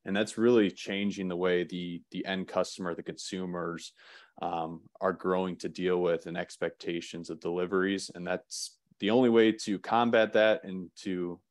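Deep-voiced guy giving a webinar talk, lots of ums, dry close mic. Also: moderate pace, dry recording. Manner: relaxed, many "um" fillers and restarts. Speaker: webinar presenter. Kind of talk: presenting slides in a webinar.

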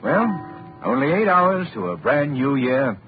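A man playing a wealthy, calm young gentleman in 1940s radio acting style, polished and courteous. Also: mid-range voice, takes it slowly, over music. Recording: tinny lo-fi old radio recording.

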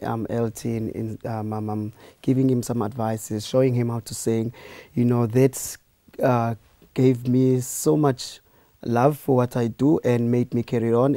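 A man's voice: medium pitch, dry recording. A young man, an aspiring opera singer, telling his story casually in a studio interview. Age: young adult. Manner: relaxed storytelling with fillers.

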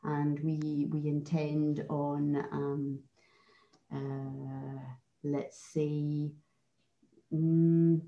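A woman's voice: sits low, level tone, drawn-out words. A Scottish woman in her fifties introducing herself on a video call, chatty and hesitant with many fillers. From Scotland. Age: 50s. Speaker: curling organizer and life coach. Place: home office with shelves. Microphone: video call webcam. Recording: roomy, dull webcam audio.

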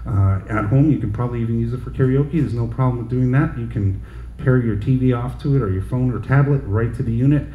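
A middle-aged man casually demonstrating audio gear in a store, talking in relaxed salesman patter full of fillers. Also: medium pitch, fast, phone-quality sound. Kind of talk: casual product demo. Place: large indoor audio gear shop. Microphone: headset and handheld mics, switched. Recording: roomy shop sound, faint background noise.

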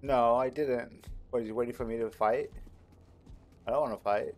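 A man's voice: medium pitch, fairly even intonation, normal speed, darkish tone, faint music behind. A man giving casual let's play commentary as a video game streamer, close to the mic.

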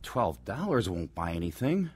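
An actress playing a kindly, concerned church friend in a radio drama, speaking sympathetically. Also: female voice, extremely low pitch, big pitch swings, moderate pace, darkish tone, husky, no room sound, heavily acted.

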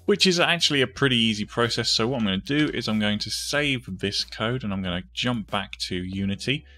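A man's voice: low voice, pushed and bright. A man hosting a step-by-step coding tutorial, informally thinking aloud as he goes. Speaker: tutorial host.

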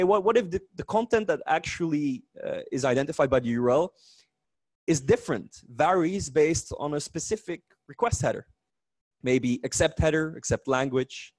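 A man with a Dutch accent gives a lively, joking technical talk at a meetup. His voice sits at a middle pitch and sounds dry and close, with little room echo. He often restarts his sentences as he explains. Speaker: conference speaker.

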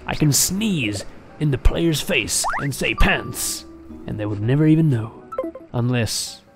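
A man talks casually through a video game in a dryly joking way. His pitch moves around in a lively fashion at a middling height, with light music playing behind him. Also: a little slow. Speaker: gaming video narrator. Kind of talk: casual gameplay commentary.

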